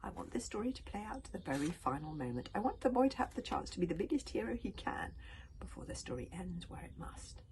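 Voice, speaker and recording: A woman voicing an ancient, weary male dragon, wise and scheming, speaking softly with a wheezy, sighing breath. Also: lowish voice, very animated, in a whisper, poor audio. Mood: grave. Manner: soft, slow, sighing.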